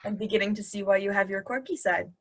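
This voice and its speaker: A woman's voice: mid-range voice, fast talker, natural voice, low-quality recording. A woman playing a snarky, teasing wife in a conversational comedy play reading, full of sarcasm. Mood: sarcastic. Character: snarky, joking wife of the anxious son.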